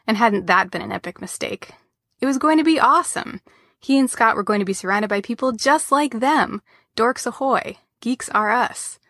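A woman's voice: medium pitch, fairly bright tone, no room sound, clean studio sound. A woman reading fiction aloud in a wry, informal storytelling style. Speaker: audio fiction reader. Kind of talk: expressive story narration.

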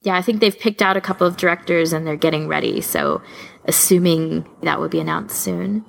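Low-pitched woman with creaky voice. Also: a little fast, a little hiss or hum, poor audio. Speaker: podcast co-host. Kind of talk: casual podcast chat.

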